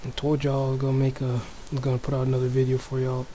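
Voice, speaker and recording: Brief: low, mellow-voiced man with a Toronto accent, flat delivery, hushed home chat with faint background noise. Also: fast. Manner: speaks softly, many restarts and fillers. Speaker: religious video maker. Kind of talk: casual religious commentary, reading news aloud. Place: home room.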